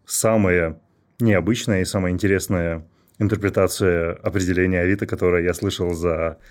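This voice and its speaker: A man hosting a podcast interview, asking questions in an appreciative, relaxed way. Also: deep voice, moderate pace. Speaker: podcast host.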